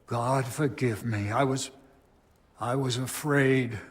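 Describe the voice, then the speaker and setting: A man's voice: medium pitch, steady tone, slow pace, lightly acted. Man acting solemn, devout medieval abbot. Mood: grieved. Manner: solemn, pious, formal. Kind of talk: dramatic video game cutscene acting.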